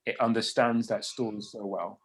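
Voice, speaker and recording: A man's voice: lowish voice, fairly even intonation, moderate pace. A man hosting a panel discussion in a chatty, informal, conversational way.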